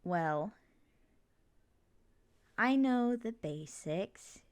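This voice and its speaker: Give the voice of a doting, chatty mother, acted by a woman in an intimate ASMR roleplay. She speaks at an unhurried, slow pace in a middle-range female pitch. Her manner is gentle and reassuring, with hesitant little asides as she comforts her child. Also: lively intonation. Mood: thoughtful, rambling. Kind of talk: ASMR roleplay, intimate one-sided conversation.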